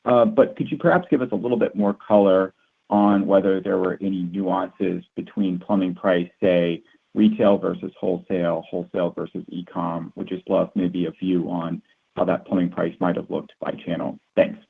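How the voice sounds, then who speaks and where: A man's voice: sits low, fairly even intonation, normal speed, gravelly, husky. A man speaking as a financial analyst, asking questions politely and conversationally on an earnings call. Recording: tinny, narrow phone line.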